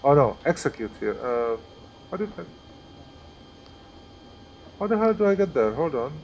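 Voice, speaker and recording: A man's voice: on the high side, very animated, moderate pace, darkish tone, a little hiss or hum, low-quality recording. Chatty Hungarian-accented man talking himself through tasks. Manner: chatty, thinks aloud while working through steps. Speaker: flight sim streamer. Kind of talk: live-stream gameplay commentary.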